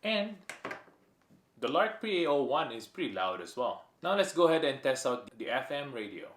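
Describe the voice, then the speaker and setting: A man's voice: mid-range voice, sing-song, normal speed, heavy background noise. A man narrating a casual hands-on product demo, pointing things out piece by piece in short phrases. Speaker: product reviewer.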